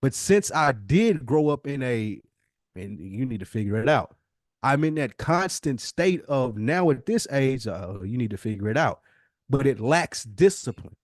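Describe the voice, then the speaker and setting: A man's voice: medium pitch, expressive, measured pace, no echo, clean studio sound. An American man in his early forties talking casually on a podcast, reflective and emphatic, often repeating himself to make a point. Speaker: podcast host. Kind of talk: casual conversational podcast talk.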